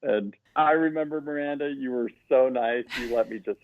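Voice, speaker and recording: A man's voice: mid-range voice, normal speed, dry recording. A man from Utah chats as an interview guest, friendly and easygoing, laughing often and wandering through his story. His voice comes through a narrow, tinny, phone-like line. The sound is dry and close. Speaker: education director guest. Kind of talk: casual interview chat.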